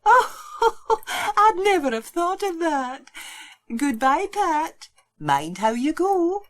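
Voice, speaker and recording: A woman's voice: very high-pitched, big pitch swings, slow pace, full character voice. A woman voicing a fussy, kindly village postmistress who chats and exclaims in a children's storybook. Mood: amazed, fond. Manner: chatty, exclaiming. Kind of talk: dramatised children's storybook reading.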